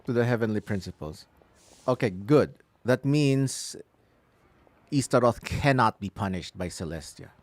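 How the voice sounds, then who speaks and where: Male gaming streamer, medium pitch, lively swings, unhurried pace, musing exclamatory commentary, music behind. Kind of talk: live playthrough commentary.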